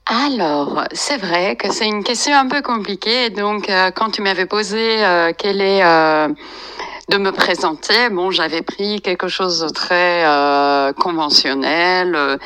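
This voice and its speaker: A woman speaks at a very unhurried pace, pausing often with soft fillers as she searches for words. Her voice sits a little low yet sounds bright and clear. Calm and reflective. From Iran, raised in France. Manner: slow, hesitant, many fillers. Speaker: author and meditation guest. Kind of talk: reflective interview answers.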